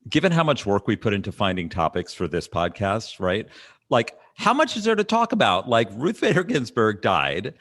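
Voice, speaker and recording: A low-voiced man co-hosts a casual political podcast. His delivery swings widely in pitch, sarcastic and incredulous. The sound is close and dry. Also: moderate pace, no room sound. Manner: animated. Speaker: podcast co-host.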